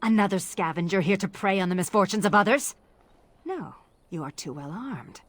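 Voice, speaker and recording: A woman's voice: medium pitch, lively intonation, moderate pace, dry recording, natural voice. A woman playing a bitter, vengeful elf in a video game, hostile, formal and accusing. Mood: cold defiance. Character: bitter, defiant female forest elf, vengeful.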